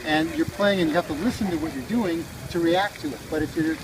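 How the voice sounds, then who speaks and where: A man's voice: fairly high pitch, brisk. A middle-aged man from Hawaii, a musician chatting casually and philosophically in a rambling way during an interview. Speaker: street musician being interviewed. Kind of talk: casual reflective chat. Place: outdoors by a beach park. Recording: loud outdoor background noise with vehicle sounds.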